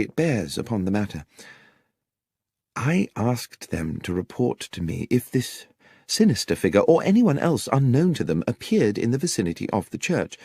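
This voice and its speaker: An earnest young country vicar, acted out by a male narrator, anxious and unfailingly courteous. His speech is articulate and wordy, with lively rises and falls, in a close, dry audiobook sound. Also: mid-range voice, husky, no echo. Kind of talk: audiobook character dialogue.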